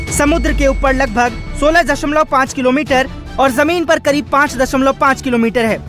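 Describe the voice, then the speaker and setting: A woman's voice: high voice, fairly bright tone. A woman reading a scripted news voice-over with punchy, emphatic headline delivery. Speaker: news narrator.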